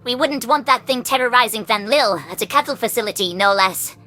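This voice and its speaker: A man's voice: extremely high pitch, brisk, bright, forceful tone, faint music behind, pitched above the performer's own voice. A man voicing a skittish, outspoken female alien creature in an audio story, speaking in a prim, emphatic way. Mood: anxious, emphatic.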